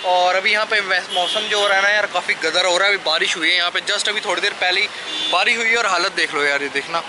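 A man's voice: sits high, quick pace. A young man chatting casually to viewers as a travel vlogger, often checking in with them, recorded outdoors on a handheld camera. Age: young adult. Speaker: travel motovlogger. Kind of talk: casual vlog chat. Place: outdoors at roadsides, underpass and street market. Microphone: camera held at arm's length. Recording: noisy outdoor street with traffic.

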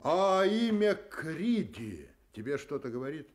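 An actor in a radio play voicing a terse, determined private detective, probing and dry. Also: male voice, on the high side, big pitch swings, a little slow. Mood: guarded.

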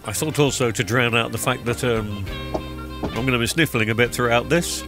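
A man's voice: mid-range voice, moderate pace. Congested man with music behind. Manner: chatty, rambling with many ums and uhs. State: blocked-up nose from a cold. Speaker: TV repair vlogger. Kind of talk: casual voiceover commentary. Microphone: studio microphone recorded in post-production. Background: background music.

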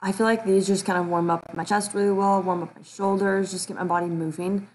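A woman's voice: mid-range voice, fairly even intonation, fairly quick, darkish tone. A woman in her twenties chatting informally as a fitness vlogger, explaining things in a rambling, casual way. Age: 20s. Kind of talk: casual vlog chat and workout voice-over.

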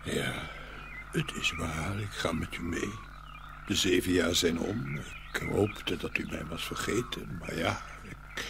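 A man's voice: low-pitched, slow pace, vocal fry, background noise, heavily acted. A man playing a hapless, fearful fellow in an audio fairy tale, speaking hesitantly and respectfully. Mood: resigned. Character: hapless, fearful man who bargains for riches.

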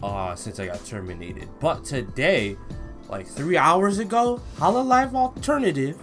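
A young man doing casual, slangy reaction commentary and read-along, expressive and given to drawn-out exclamations. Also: a little high, soft background music. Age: young adult. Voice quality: slangy, informal. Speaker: YouTube reaction host. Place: small indoor room at home.

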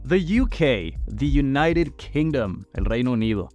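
A man explaining phrases to camera as a language teacher, clear and deliberate, repeating words slowly, in a small studio. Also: medium pitch, sing-song, a little mellow, soft background music.

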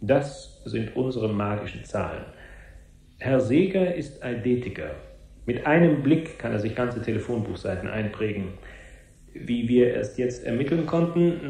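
An actor playing a formal, long-winded head of public relations at a press conference in a radio drama. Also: male voice, medium pitch, gravelly, a little mellow, reverberant.

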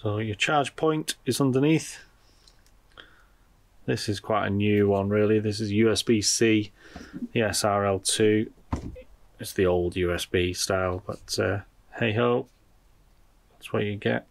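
A man's voice: lowish voice, fairly even intonation, unhurried, vocal fry, pauses often, no echo. A man giving casual unboxing commentary as a product reviewer, informally thinking aloud as he goes.

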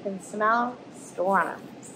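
A woman, reading a picture book aloud to children in an animated storytelling manner. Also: mid-range voice, extremely slow, a little hiss or hum, rough recording. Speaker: storybook reader and host. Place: indoors in front of an aquarium tank.

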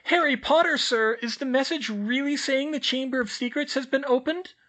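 A man's voice: very high-pitched, moderate pace, bright, forceful tone, pitched above the performer's own voice. A man voicing an old female house-elf who speaks in broken grammar. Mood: disturbed.

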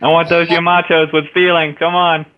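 A man's voice: a little high, flat delivery, moderate pace. A man bantering casually during an amateur group script reading. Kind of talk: casual banter between read lines. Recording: dull lo-fi mic.

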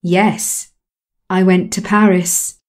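A woman repeats English drill sentences at an unhurried pace. Her voice is mellow and warm, and she keeps a calm, measured delivery. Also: lowish voice. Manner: calm, measured repetition of phrases. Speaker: English lesson voice. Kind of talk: slow scripted language drill.

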